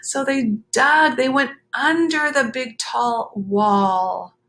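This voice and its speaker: A woman reading a children's picture book aloud, expressive, with chatty explanatory asides to young listeners. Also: fairly high pitch, slow, low-quality recording.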